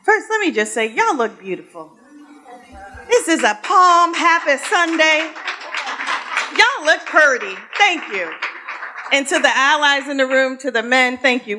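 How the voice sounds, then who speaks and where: A bright, somewhat high-voiced woman moderating a live panel. She speaks to the room in an upbeat, folksy way and warmly greets the audience. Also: measured pace, pauses often. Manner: lively, upbeat and folksy, addresses the room as y'all.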